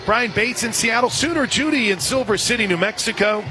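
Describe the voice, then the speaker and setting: A man calling a softball game live as a radio play-by-play announcer, in a folksy, chatty way with listeners. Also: extremely high pitch, a little fast. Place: outdoor softball stadium. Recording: loud crowd and outdoor stadium noise. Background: crowd noise, outdoor ambience.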